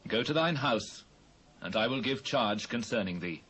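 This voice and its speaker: A British actor playing a grave, fatherly king in a dramatised Bible, measured and authoritative in archaic English. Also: medium pitch, lively intonation, fairly bright tone, slightly rough, poor audio. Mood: gracious authority.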